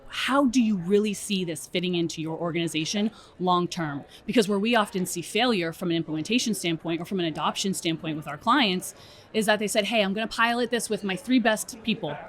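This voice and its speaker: Low-pitched woman explaining in an interview. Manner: explanatory, often checks with "right?". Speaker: interview guest, strategy director at a software company. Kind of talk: conversational expert interview.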